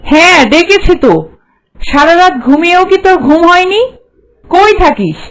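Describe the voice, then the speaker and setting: Bossy stuck-up auntie for a cartoon, high voice, naggy n contemptuous. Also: female voice, normal speed, low-quality recording. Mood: scolding, bossy. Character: haughty, scolding, hard-hearted mistress of the house. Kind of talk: Bengali cartoon voice acting.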